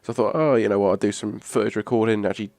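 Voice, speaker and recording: A hoarse young man, rambling casually through a video game weapon review. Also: lowish voice, steady tone, rapid-fire, a little mellow, no echo, clean studio sound. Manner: rambling, restarts a lot, casual swearing. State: hoarse from a cough. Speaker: gaming channel host. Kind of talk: casual gameplay commentary.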